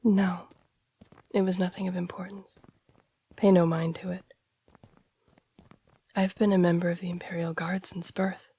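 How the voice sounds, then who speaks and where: A woman playing a devoted, courteous masked assassin and royal guard in an audio roleplay, speaking in hushed, breathy, formal tones. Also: on the low side, level tone, measured pace, pauses often. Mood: guarded, tender. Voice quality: breathy, husky. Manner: formal, deferential, hushed speech. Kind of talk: intimate audio roleplay acting.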